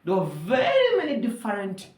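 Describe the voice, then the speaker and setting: A woman's voice: medium pitch, huge pitch swings, moderate pace, a little mellow, roomy sound. A woman from Uganda whose first language is Luganda, speaking in an earnest, rallying way and repeating phrases for emphasis. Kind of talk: persuasive monologue to camera.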